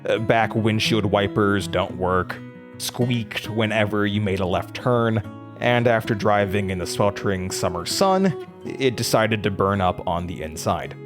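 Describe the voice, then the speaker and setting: Audio drama lead: weary young man male actor, low register, dry and candid delivery, quietly defiant, with prominent guitar music underneath. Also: measured pace, natural voice. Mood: dry recollection. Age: young adult. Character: weary, defiant young male college student haunted by his past.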